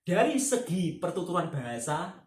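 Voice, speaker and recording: A man's voice: high voice, very animated, normal speed, a little mellow. A man from Java with a Javanese accent, hosting his channel and chatting earnestly with a friend, often asking for agreement. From Java, Indonesia. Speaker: channel host. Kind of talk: casual chat with a friend. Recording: echoey room.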